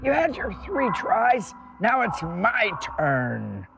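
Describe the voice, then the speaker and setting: A woman's voice: a little high, huge pitch swings, takes it slowly, gravelly, noisy background, pitched above the performer's own voice, full character voice. Woman doing a huge grumpy storybook monster guy, growly grunts, swoopy voice. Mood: gruff, exasperated. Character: hulking, gruff male monster with sharp claws.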